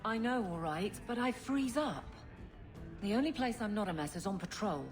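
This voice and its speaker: A woman's voice: mid-range voice, animated, moderate pace, natural voice. A woman voicing a stern, blunt female guard captain who is awkward about romance. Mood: flustered. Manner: blunt, self-critical. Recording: game cutscene with music behind.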